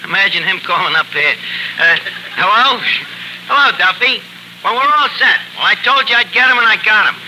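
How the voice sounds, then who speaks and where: An actor playing a slangy, wheedling, self-assured tavern manager in a 1940s radio comedy, with colloquial, hesitant talk. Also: male voice, high-pitched, expressive, brisk, bright, forceful tone, full character voice. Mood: boastful. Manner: colloquial, hesitant, mangles sayings. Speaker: comedy show star. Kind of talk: 1940s radio comedy acting before an audience. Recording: noisy 1940s radio broadcast recording.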